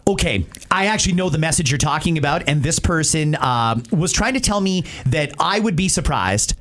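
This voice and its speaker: A man's voice: on the high side, expressive, moderate pace, fairly bright tone. A Canadian man hosting talk radio with conversational, opinionated, emphatic commentary.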